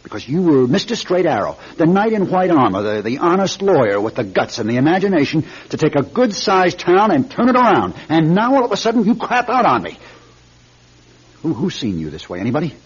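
Husky, exasperated American campaign manager. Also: male voice, on the high side, sing-song, brisk. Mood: exasperated, accusing. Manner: insistent, pleading, emphatic repetition. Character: exasperated, urgent male political campaign manager. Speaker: radio drama actor. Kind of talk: classic American radio drama acting. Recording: dull lo-fi radio recording.

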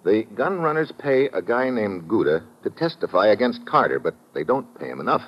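An actor with an American accent playing a tough, slangy, wisecracking agent in an old radio detective drama. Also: male voice, lowish voice, sing-song, brisk. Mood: reasoning. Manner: hard-boiled, slangy, clipped. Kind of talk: old-time radio detective acting and narration. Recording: muffled noisy old radio broadcast recording.

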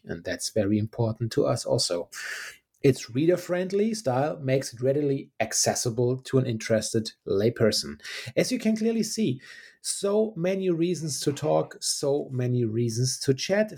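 A husky-voiced man hosts a podcast, giving an upbeat introduction before an interview. His pitch sits in the middle. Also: a little mellow. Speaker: podcast host.

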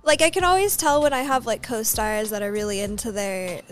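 A woman's voice: fairly high pitch, lively intonation, a little fast, soft background music. A young woman with an American Southwestern, Valley-girl accent, chatting casually as an interview guest and peppering her talk with "like.". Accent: American Southwestern with a Valley-girl twang. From American Southwest, New Mexico. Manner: Valley-girl talk, filler-heavy with frequent "like". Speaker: interview guest, adult film performer.

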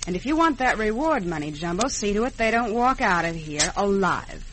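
A woman's voice: medium pitch, big pitch swings, moderate pace, a bit bright. Ruthless American outlaw boss lady. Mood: cold, plotting. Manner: curt, commanding. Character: cool, bossy, ruthless female outlaw gang leader. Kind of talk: 1950s radio western acting. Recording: noisy old radio show recording.

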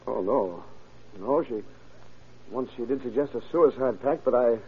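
An actor in an old-time radio drama playing a troubled, reluctant young son, earnest and halting. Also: male voice, medium pitch, breathy edge. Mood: troubled, hesitant. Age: young adult. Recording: muffled, phone-like old radio recording.

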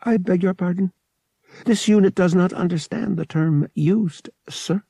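A man's voice: sits high, measured pace, creaky, dark tone, slightly rough, no echo, clean studio sound, voice pushed up, heavily acted. A man voicing a polite, literal-minded little astromech droid in an audiobook, formal, matter-of-fact and mechanical. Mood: formal, apologetic.

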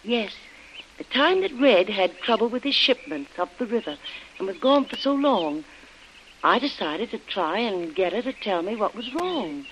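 A woman's voice: mid-range voice, lively intonation, normal speed, a bit bright, lightly acted. A woman playing an anxious young wife who earnestly recounts events in a vintage radio drama. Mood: uneasy recollection. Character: Helen, anxious young wife recalling her ordeal. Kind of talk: 1940s radio drama acting. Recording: muffled, noisy old radio recording.

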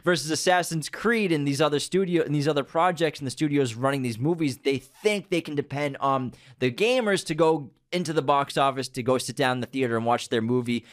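Animated man, slightly high voice. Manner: animated, quick restarts and mock-quoting studio bosses. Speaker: podcast co-host. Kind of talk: casual chat.

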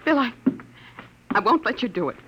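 An actress playing a frightened, pleading young wife in a melodramatic 1950s radio drama. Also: female voice, fairly high pitch, sing-song, normal speed, heavy background noise. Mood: pleading, panicked.

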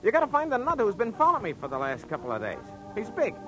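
A man's voice: sits high, wildly swooping pitch, quick pace, fairly bright tone, heavily acted, low-quality recording. An actor playing a shady, dapper ex-con businessman with slangy, insistent tough-guy talk in 1940s radio style. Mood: urgent, uneasy. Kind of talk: 1940s radio crime drama acting. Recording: old radio recording with music.

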